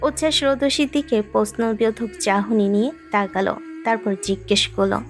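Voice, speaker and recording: A woman's voice: on the high side, fairly even intonation, moderate pace. A woman reading a scripted romantic story as its narrator. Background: background music.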